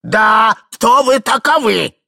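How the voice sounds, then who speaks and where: An indignant old gentleman from a storybook, husky and forceful. He sputters and drags out his exclamations, and his pitch swings wildly as he blusters. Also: sing-song, slow, no room sound, clean studio sound, full character voice. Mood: outraged. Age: older. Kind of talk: theatrical literary reading.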